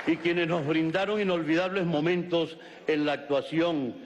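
An older man with a Venezuelan accent reads a formal resolution at a podium in a large legislative chamber, in a measured, declamatory way. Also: on the high side. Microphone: podium microphones. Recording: long reverb of a large hall.

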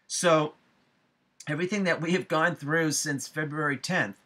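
A middle-aged man giving a spiritual forecast to viewers, speaking deliberately with emphatic phrasing and frequent pauses. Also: a little high, steady tone, pushed and bright. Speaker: astrology forecaster and psychic medium. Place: small room at home, indoors.

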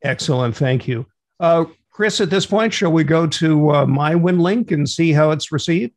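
A man's voice: a little high, moderate pace. A middle-aged man hosting an instructional radio net in an orderly, procedural way over a video call. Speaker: net control operator and host. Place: small home room, on a video call.

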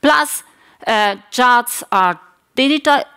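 Woman, 40s, Polish accent, non-native speaker; unhurried, hesitant conference presenter with many self-corrections. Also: on the high side, lively intonation, fairly bright tone, smooth. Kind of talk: technical conference talk. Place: large conference hall stage.

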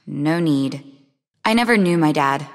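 A woman playing a sharp, sardonic young female spy in a video game, talking conversationally with a defiant edge. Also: low voice, animated, takes it slowly, exaggerated voice. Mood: wry. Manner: sarcastic, defiant. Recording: long reverb on the voice.

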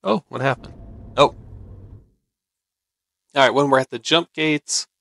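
An American man giving casual let's-play commentary, easygoing and thinking out loud. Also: medium pitch, unhurried, gravelly, dry recording, studio quality. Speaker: gaming video host.

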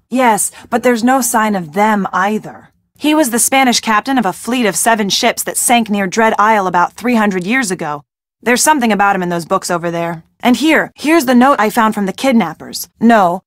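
Video game sidekick, played by a woman: young female, higher voice, worried yet sardonic, conversational, very dry studio sound. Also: no room sound, natural voice. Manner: expressive, wry, conversational delivery.

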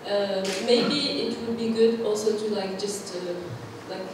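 A woman's voice: medium pitch, fairly even intonation, measured pace, background noise. Woman, hesitant speech, full of fillers, echoey hall, far from mic. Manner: hesitant, many fillers and restarts. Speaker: workshop participant. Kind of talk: group discussion report. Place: large conference room. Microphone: far room mic.

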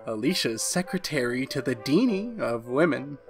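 A woman's voice: a bass voice, sing-song, normal speed, over music. A woman playing a nervous, henpecked husband in a retro radio drama, confiding hesitantly and getting flustered. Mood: fond, flustered. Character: nervous, henpecked husband, a university history teacher. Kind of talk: retro 1940s radio drama, first-person narration.

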